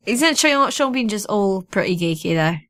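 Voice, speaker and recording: A woman's voice: medium pitch, lively intonation, moderate pace, fairly bright tone, no echo, clean studio sound. A woman co-hosting a podcast, chatting casually with a wry, bantering manner. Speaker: podcast co-host. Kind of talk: casual chat.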